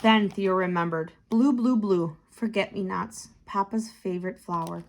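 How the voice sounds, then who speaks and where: A woman narrating a picture book for children, expressive and deliberate, lingering on key words. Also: medium pitch, creaky. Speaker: tutor reading a children's book aloud.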